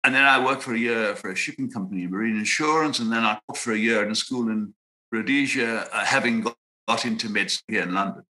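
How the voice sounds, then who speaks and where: A man in his sixties from Zimbabwe, a psychiatrist answering interview questions in a measured, reflective way with frequent pauses and restarts. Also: on the low side, bright, forceful tone, rough recording. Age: 60s. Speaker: guest psychiatrist.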